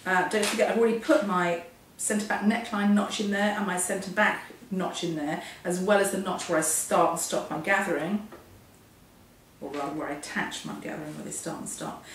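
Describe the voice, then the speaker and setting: A hoarse woman walks through a sewing lesson step by step, chatty and self-deprecating. She stands well back from the mic in a very echoey workroom. A faint hiss sits under her voice. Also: on the low side, fairly even intonation, moderate pace, far from the mic. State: hoarse, losing her voice from a cold. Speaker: sewing instructor. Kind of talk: hands-on sewing tutorial.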